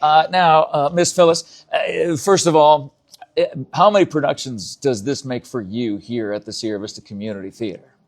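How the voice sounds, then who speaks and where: A man's voice: mid-range voice, fairly even intonation, normal speed. A man hosting an interview show, talking in an enthusiastic, emphatic, chatty way, as if promoting a local event.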